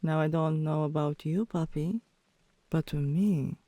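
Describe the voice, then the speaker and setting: Teasing, dominant tomboy girlfriend, played by a woman, for ASMR roleplay. Soft dark tone, close and dry, commanding but affectionate. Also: low voice, no echo. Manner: commanding yet coaxing, deliberate, drops into whispers.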